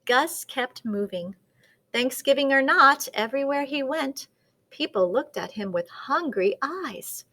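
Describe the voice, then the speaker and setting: High-voiced, animated woman reading a children's story. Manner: dramatic, deliberate storytelling. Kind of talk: expressive children's picture-book read-aloud.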